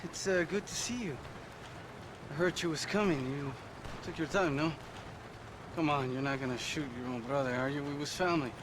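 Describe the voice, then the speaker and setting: With outdoor noise behind him, an actor voices a cornered bandit trying to talk his way out. He, a slightly high voice and a hesitant, wheedling manner, as in a western game cutscene. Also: moderate pace, lightly acted. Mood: nervous, ingratiating. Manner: ingratiating, hesitant. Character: slippery, pleading Mexican outlaw, cornered former gang brother. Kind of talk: video game cutscene acting.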